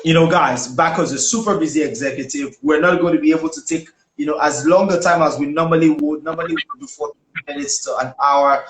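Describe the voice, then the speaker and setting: A man in his thirties hosts a live interview in a conversational way. His voice sits a little high. The call has a roomy echo with faint noise behind it. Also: fast talker, a bit bright. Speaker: show host, interviewer. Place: small indoor room. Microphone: close phone or webcam with wired earphones on video call.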